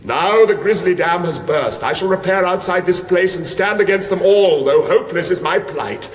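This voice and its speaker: A man's voice: high voice, normal speed, close to an everyday voice. A man playing an earnest, doomed young warrior prince in a radio comedy, declaiming in pompous, archaic heroic speech. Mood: tragic resolve. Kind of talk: broadcast radio comedy acting, epic fantasy parody. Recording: echoey hall with clear background noise.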